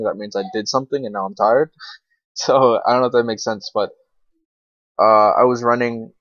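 Low-voiced teenage guy, muffled lo-fi mic. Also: dry recording. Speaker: gaming video creator. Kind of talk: casual rambling gameplay commentary. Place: indoors at a computer, screen recording. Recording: dull, lo-fi, dry computer mic.

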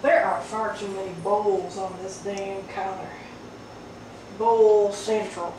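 A woman in her thirties hosting a comedic home cooking show, rambling in a deadpan way and laughing often, filmed in a small kitchen on a camera mic. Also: mid-range voice, slow. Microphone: camera mic from across the room. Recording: roomy, distant, noisy home recording.

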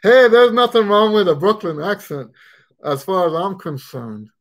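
A man's voice: high voice, moderate pace. A middle-aged man, a trumpet teacher, answering questions in a casual live stream from his living room on a webcam, rambling and restarting his sentences. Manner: rambling, frequent restarts and stammered repeats. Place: living room at home, indoors. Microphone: webcam at desk distance.

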